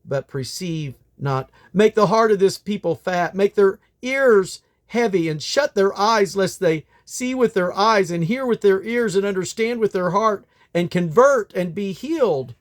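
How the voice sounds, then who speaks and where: A man voicing a commanding heavenly being from scripture, proclaiming loudly in drawn-out, declamatory phrases. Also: sits high, animated, pitched above the performer's own voice. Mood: stern, commanding. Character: seraph and God, commanding heavenly voice proclaiming solemnly. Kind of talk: dramatic scripture reading.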